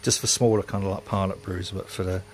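A man's voice: low-pitched, steady tone, fast, a little hiss or hum. A man, rambling casually and self-mockingly while filming his home brewery workshop on a handheld phone.

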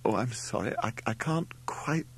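Man voicing a flustered, genteel mother, lifted pitch. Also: expressive, normal speed, breathy edge. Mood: apologetic, confused. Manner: hesitant, stammering, genteel. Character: flustered, desperately polite middle-aged mother.

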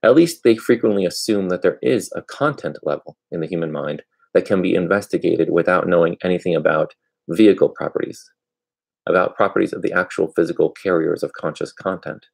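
A man in his thirties with a gravelly, husky voice, reading aloud from an academic book in a halting way, sometimes correcting himself. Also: low voice, darkish tone. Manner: halting, with misreadings and self-corrections. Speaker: amateur book reader. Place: furnished room at home, indoors.

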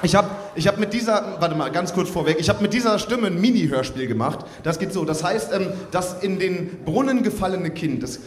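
Man, casual stage patter, high pitch, hesitant and rambling, reverberant room, faint audience. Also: lively intonation, a little fast, hardly any pauses. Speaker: poetry slam performer, presenter of the act. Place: tent stage before audience. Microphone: handheld microphone. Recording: echoey live stage, audience noise.

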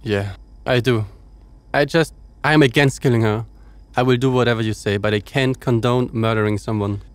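A man acting a principled male crewman, medium pitch, argumentative, incredulous, audio drama, dry recording. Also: normal speed, no echo, close to an everyday voice. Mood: reluctant, earnest. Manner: protesting, argumentative. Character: principled, incredulous male spaceship crew member.

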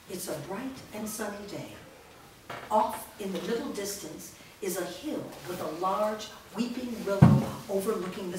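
A woman reading a screenplay's stage directions aloud in a measured, descriptive way at a staged reading, recorded in a large studio from a distance. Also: lowish voice, background noise. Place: large indoor rehearsal studio. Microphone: far camera mic. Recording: echoey studio from far off.

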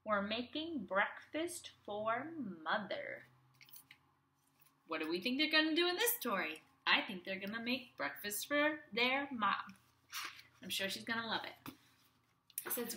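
A young woman teacher reading a storybook aloud to young children in an animated, expressive way, speaking to them directly. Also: fairly high pitch, a little slow, slight background noise. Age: young adult. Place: small room at home. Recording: roomy, echoey home webcam sound.

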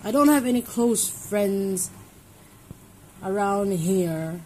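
A woman's voice: mid-range voice, expressive, slow pace, mellow, slight background noise, rough recording. A young woman from Hong Kong talking casually at home in a personal vlog, rambling and restarting her sentences as she thinks aloud. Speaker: vlogger. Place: home, indoors.

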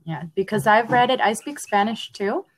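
A woman's voice: on the high side, lively intonation, rough recording. Young woman from Honduras on a video call. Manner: thoughtful, pauses with ums. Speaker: language learner. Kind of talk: casual video call conversation. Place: home room. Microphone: headset.

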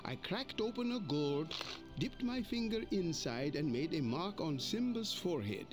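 An actor playing an old male mandrill elder, narrating a storybook read-along in an expressive way. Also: on the high side, unhurried. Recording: music playing behind.

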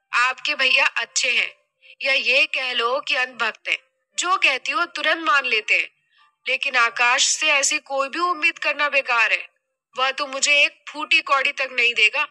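Woman acting a shrill, spoiled young daughter. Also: a little slow, pushed and bright, faint music behind, heavily acted. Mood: pouting.

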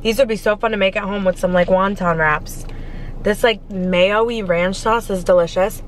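A woman's voice: medium pitch, normal speed, low-quality recording. Gushing young American woman chatting between bites. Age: 20s. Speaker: food vlogger. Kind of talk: casual chatty eating vlog. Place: inside a parked car. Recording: faint car and street noise.

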